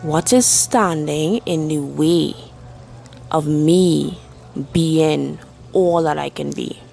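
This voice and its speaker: Very slow, low-voiced woman guiding meditation. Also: creaky. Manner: soothing, deliberate, many long pauses. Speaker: guided meditation host. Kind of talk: slow guided meditation with spoken affirmations. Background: soft background music.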